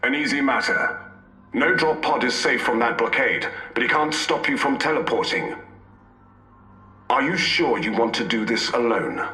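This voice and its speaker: An actor playing a loyal, defiant fleet officer in a video game, firm and assured. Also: male voice, medium pitch, normal speed, pushed and bright, close to an everyday voice. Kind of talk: video game cutscene acting. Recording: echoey, faint music behind.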